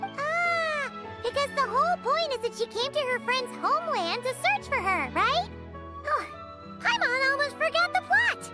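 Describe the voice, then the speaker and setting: Tiny fairy companion: childlike, chatty, very high and animated, video game. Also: female voice, moderate pace. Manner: talks of herself in third person, exclaims often. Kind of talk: video game character acting. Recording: loud background music. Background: tinkling children's game music.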